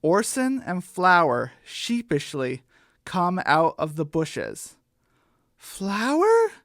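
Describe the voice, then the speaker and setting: A woman's voice: sits low, sing-song, slow, fairly bright tone, no echo, clean studio sound. A woman narrating and hosting a homemade children's radio play. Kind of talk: children's story narration and host sign-off.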